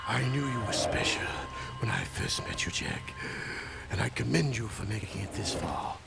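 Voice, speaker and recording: Greedy gangster weapons dealer: very croaky, animated, theatrical video game baddie. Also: male voice, on the low side, normal speed, very noisy. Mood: smug. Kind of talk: video game villain acting. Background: loud game music.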